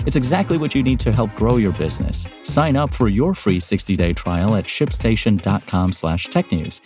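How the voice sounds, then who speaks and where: A man's voice: on the low side, very animated, moderate pace, a little mellow. A man reading a scripted podcast sponsor ad. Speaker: sponsor ad reader. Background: music.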